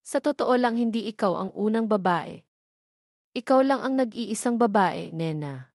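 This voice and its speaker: A woman's voice: mid-range voice, animated, normal speed, gravelly, darkish tone, no echo. A protective, slightly cocky young Filipino man from a romance audio drama, read by a woman. The lines are scripted dialogue in a dry, close recording. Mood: tender.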